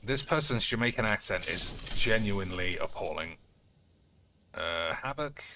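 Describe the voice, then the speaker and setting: A man's voice: fairly low pitch, animated, unhurried, background noise. A man giving casual, rambling gameplay commentary, swearing and wryly talking to himself. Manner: sweary, wry muttering to himself. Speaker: game streamer. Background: video game sounds.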